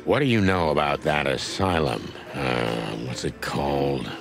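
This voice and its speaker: An actor playing a world-weary older rooster detective in a film noir game, with a dry, terse, deadpan delivery. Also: male voice, extremely low pitch, a little slow. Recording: music behind the voice.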